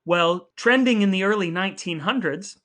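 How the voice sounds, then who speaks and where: Man, forties, bright forceful voice, slightly high pitch, wry podcast co-host, explanatory. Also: normal speed. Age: 40s. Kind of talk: casual conversational podcast talk.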